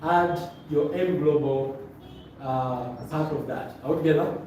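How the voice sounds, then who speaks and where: A man's voice: a little high, a little slow, darkish tone. Zambian male seminar trainer in echoey room. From Luapula, Zambia. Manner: directive, checks in with listeners, repeats phrases. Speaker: trainer leading a presentation course. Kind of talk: motivational seminar instruction to a live room. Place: indoor training room with stage. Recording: very echoey room, distant mic, noisy.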